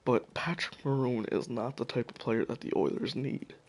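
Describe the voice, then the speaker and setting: A man talks through the news on his own in a loose, cursing way and trips over names now and then. His voice is gravelly and worn, as if it is slowly giving out. His pitch sits in an ordinary middle range. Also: steady tone, rough recording. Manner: profane, offhand, stumbles over names. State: losing his voice. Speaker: hockey video commentator. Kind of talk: casual solo commentary.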